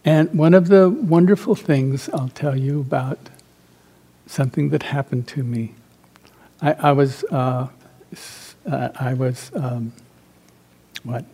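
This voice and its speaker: An older man who grew up in Central America, a meditation teacher giving an informal dharma talk, telling personal stories in a halting, reflective way that trails off softly. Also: medium pitch, breathy edge.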